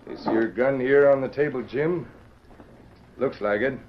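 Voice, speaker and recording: A man's voice: mid-range voice, a little slow, a little hiss or hum, close to an everyday voice. Stern western in-law, male actor: American, cool, suspicious, clipped orders, muffled vintage radio sound. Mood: accusing. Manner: terse, pointed, accusing. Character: cool, suspicious, commanding frontier brother-in-law. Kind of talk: old-time radio western acting. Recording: dull old radio recording.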